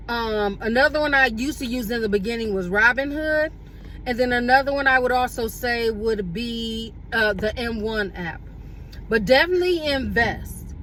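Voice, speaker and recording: A woman in her forties with a slightly high voice talks to her viewers from the seat of her parked car. Her delivery is casual and unhurried, with plenty of fillers and restarts. Faint street noise seeps in from outside. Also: bright, forceful tone, poor audio. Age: 40s. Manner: unhurried, with many fillers and self-corrections. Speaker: vlogger. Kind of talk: casual reflective talk to camera.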